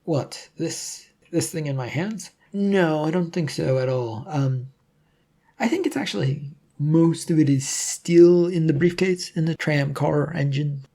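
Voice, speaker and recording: Hapless, evasive man for an audiobook, acted by a narrator; hesitant, defensive, mellow tone. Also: medium pitch, expressive, a little slow, gravelly. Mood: nervous, evasive. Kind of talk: audiobook character voice.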